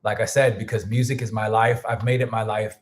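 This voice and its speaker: A man hosting a casual music livestream, rambling loosely with many restarts and thanking viewers, a little hoarse as he starts losing his voice. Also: on the low side, level tone, fairly quick. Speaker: music producer and livestream host.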